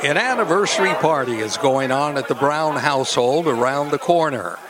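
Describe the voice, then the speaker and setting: A male announcer with a slightly high voice delivers scripted old-time radio copy. He narrates mystery and sponsor lines in a presentational style. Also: lively intonation, normal speed, a crowd in the background.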